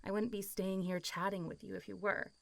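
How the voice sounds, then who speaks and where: A woman voices a zombie girl who has been dead for centuries yet talks like a casual, friendly young woman. Her pitch sits in an ordinary middle range for a woman. She is playful and teasing, chatting intimately as in a roleplay audio drama. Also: little pitch movement, fairly quick, gravelly, no echo, natural voice. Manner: casual, playful, teasing, often laughing and sighing.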